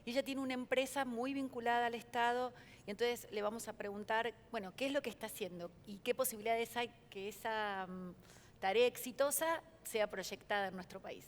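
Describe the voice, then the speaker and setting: A woman's voice: a little high, fairly quick, long reverb tail, slight background noise. A woman moderating a panel, politely introducing the next speaker. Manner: polite, orderly.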